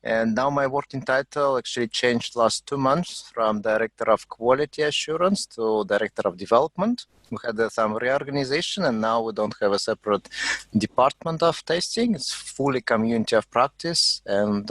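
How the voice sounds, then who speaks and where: A man's voice: medium pitch, animated, moderate pace, fairly bright tone, no room sound. Guy from russia on a video panel, lots of uhs, dry close sound. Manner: hesitant, many fillers. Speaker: panelist, development director. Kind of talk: casual panel talk.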